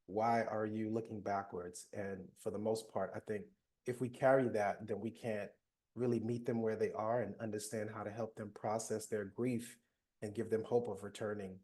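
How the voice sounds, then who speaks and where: Reflective male panelist, low and mellow, soft-spoken. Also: level tone, normal speed. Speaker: panelist, mental health professional. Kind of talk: panel discussion.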